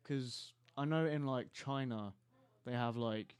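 A man's voice: mid-range voice, clear, resonant tone, no echo. Curious teen guy asking questions. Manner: curious, asks questions, quick rushed phrasing. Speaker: podcast co-host. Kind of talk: casual chat.

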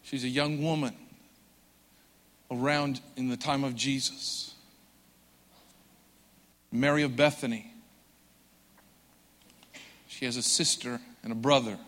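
A man preaching a sermon with earnest conviction, swelling loud for emphasis and dropping soft and slow. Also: medium pitch, fairly even intonation. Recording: long hall reverb.

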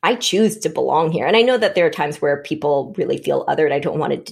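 A woman born in Vietnam speaks on a panel with a low voice and a gravelly edge. She thinks aloud, often restarting her phrases. The talk is relaxed and conversational. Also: fast talker. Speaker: panel guest.

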